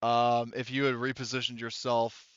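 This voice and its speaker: Low smooth-voiced guy gm-ing a tabletop game on a dull lo-fi headset, lots of ums. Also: level tone, a bit bright, no room sound. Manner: halting, many ums and restarts while narrating rules. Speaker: game master. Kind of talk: running a tabletop game over voice chat.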